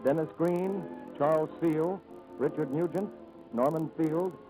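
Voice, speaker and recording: A man's voice: a little high, a little slow, poor audio. A man announcing credits in the scripted style of 1940s radio. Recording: old radio recording over loud music.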